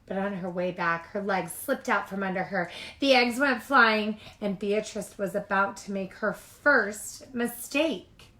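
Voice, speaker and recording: A woman reading a children's storybook aloud in an expressive manner. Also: medium pitch, unhurried. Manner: expressive, acts out dialogue and exclamations. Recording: echoey room.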